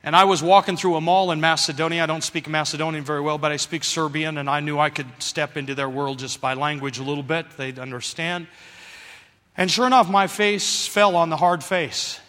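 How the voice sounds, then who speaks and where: Animated male preacher in an echoey hall. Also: a little high, brisk. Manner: animated, calls for congregation response. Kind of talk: sermon.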